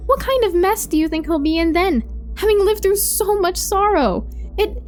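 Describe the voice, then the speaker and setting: An actress playing an earnest young trainee prison technician in an audio drama, probing and firm in a measured way. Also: female voice, very high-pitched, lively intonation, a little mellow, soft background music. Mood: frustrated, earnest. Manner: measured, formal when questioning.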